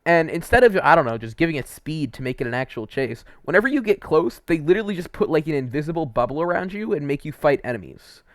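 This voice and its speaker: A man's voice: on the high side, very animated, quick pace, few pauses, no echo. A young adult man giving casual, opinionated video game commentary in an informal, slangy, rambling way.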